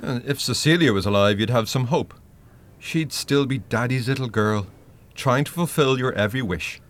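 An actor playing a wry, unsparing spirit guide in a radio play, with a measured, teasing, blunt manner. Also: male voice, mid-range voice, lightly acted. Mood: blunt, firm.

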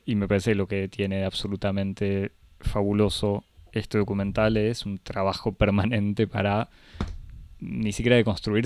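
Low, even-toned man analyzing a film. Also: no echo. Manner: measured, analytical, light self-correction. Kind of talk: casual film discussion.

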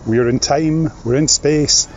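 A man hosting a podcast, playful and fond of wordplay, a bit gravelly from a cold. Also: fairly high pitch, measured pace, a little mellow, muffled recording, a little hiss or hum. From Scotland. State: slight cold, more gravelly than normal. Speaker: podcast host. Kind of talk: podcast chat and interview. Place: at a table across from his co-host.

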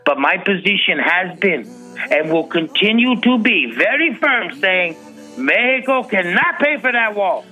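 A man voicing a polite but firm Mexican president in a comedic reading, speaking formally and deliberately. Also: sits high, lively intonation, pushed and bright, faint music behind, full character voice, rough recording. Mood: courteous, firm. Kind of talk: comedic dramatic reading over music.

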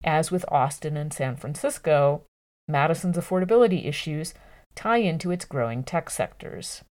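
Woman, expressive article narrator. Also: deep voice, moderate pace. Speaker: narrator reading a news article.

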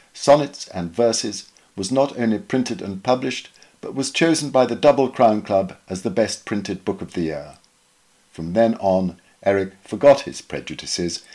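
A man reading a written memoir aloud as scripted narration, in a measured, formal manner with precise diction. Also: fairly low pitch, darkish tone, slightly rough. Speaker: podcast reader.

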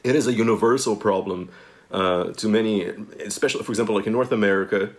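A fairly low-voiced man from Brazil, speaking English as a guest in a relaxed interview. He rambles, circles back and fills his sentences with "like" and "you know" as he works through his ideas. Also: fast. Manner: rambling, many fillers and restarts. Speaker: guest, language teacher. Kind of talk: casual interview chat.

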